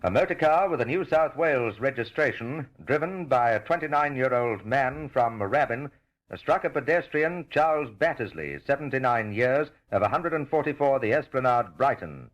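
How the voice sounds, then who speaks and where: A man's voice: medium pitch, expressive, normal speed, highs cut off. A man with an Australian accent, working as a radio news reporter, asking questions on the scene and reading reports. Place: on location, at venues and outside a hospital. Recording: noisy old radio recording on location.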